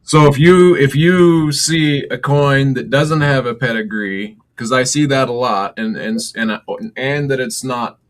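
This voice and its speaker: A man's voice: mid-range voice, unhurried, hardly any pauses. A man hosting a live interview, asking questions hesitantly and repeating words as he searches for them. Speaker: host. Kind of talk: casual interview talk.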